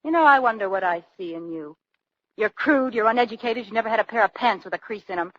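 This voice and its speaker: An actress playing the sharp-tongued, flirtatious wife of a trucking boss, with dry wisecracks in 1940s radio acting style. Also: female voice, medium pitch, expressive, quick pace, no room sound, close to an everyday voice. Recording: muffled 1940s radio recording.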